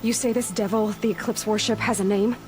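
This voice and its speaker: An actress playing a determined, guarded young huntress in a video game, asking blunt and direct questions in terse phrases. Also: female voice, medium pitch, fairly even intonation, brisk, soft background music, close to an everyday voice. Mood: stern questioning. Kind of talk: dramatic video game dialogue.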